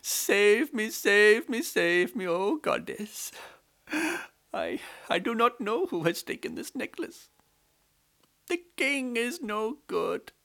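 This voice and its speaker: A storyteller voicing a poor, frightened Brahmin man who sobs and pleads to a goddess in a high, quavering voice. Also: very animated, takes it slowly, vocal fry, sharp tone, full character voice. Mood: wailing, pleading.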